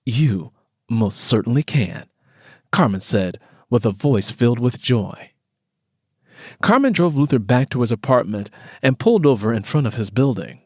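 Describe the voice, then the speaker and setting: A man's voice: medium pitch, expressive, moderate pace, dry recording, studio quality. A man reading scripted fiction as an audiobook narrator.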